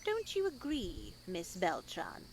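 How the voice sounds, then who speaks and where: Slow, stern, sanctimonious nun. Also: female voice, on the low side, huge pitch swings, a little hiss or hum, exaggerated voice. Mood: stern, formal. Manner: measured, formal, preachy. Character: stern, sanctimonious nun lecturing two young women in her care. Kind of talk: dramatic visual novel voice acting.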